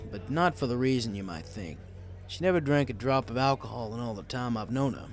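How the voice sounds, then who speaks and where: Animated man narrating a story. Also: medium pitch. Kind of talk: first-person storybook narration with character dialogue.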